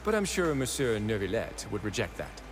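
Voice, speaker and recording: A man's voice: on the low side, big pitch swings, brisk, noisy background. Wry, self-assured male warden. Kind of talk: video game voice acting.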